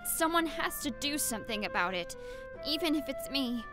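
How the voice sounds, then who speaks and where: Woman voicing an earnest schoolgirl, high voice, quick to fire up, fan dub. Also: lively intonation, loud music behind the voice. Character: earnest schoolgirl, eager and impulsive, teenage. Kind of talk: amateur anime fan dub.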